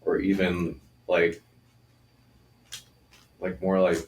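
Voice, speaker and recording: A band member talks in a relaxed, halting way with plenty of fillers. His voice is very deep and stays level. He sits back from the mic, and the room adds a lot of echo. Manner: relaxed, hesitant, many fillers. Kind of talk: casual podcast chat. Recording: roomy echo, distant mic.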